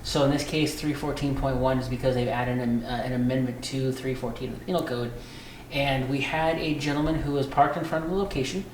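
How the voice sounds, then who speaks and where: A man's voice: mid-range voice, steady tone, fairly quick. American man explaining things in echoey room. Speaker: police dispatcher being interviewed. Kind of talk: conversational interview, explaining. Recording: echoey room with faint background noise.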